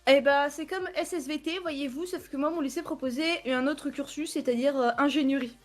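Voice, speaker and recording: Une jeune femme du sud de la France, invitée d'une émission esport, qui parle de son parcours sur un ton joueur et moqueur envers elle-même, en riant souvent. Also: female voice, high voice, moderate pace, soft background music. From southern France, near Aix-en-Provence. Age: young adult. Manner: playful, self-mocking, laughs often. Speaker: guest, esports team member. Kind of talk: casual interview chat.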